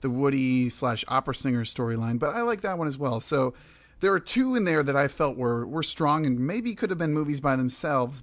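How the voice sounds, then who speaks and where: A man with a mid-range voice hosts a film podcast. He delivers reviews and opinions in a conversational presenter's style. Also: medium pitch. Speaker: podcast host. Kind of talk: film review, scripted intro and loose discussion.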